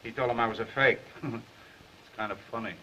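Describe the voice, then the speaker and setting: Man playing a brash, bright-voiced 1930s newspaper reporter. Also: mid-range voice, steady tone, normal speed, lightly acted. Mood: rueful. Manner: cocky, wisecracking. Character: brash, fast-talking newspaper reporter, down on his luck. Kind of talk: 1930s Hollywood film acting. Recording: muffled, noisy 1930s film soundtrack.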